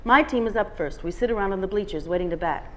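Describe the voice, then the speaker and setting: A woman's voice: mid-range voice, fast talker, fairly bright tone. A woman reading a novel aloud at a bookstore, telling the first-person story with expression. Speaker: guest reader. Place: bookstore reading before an audience.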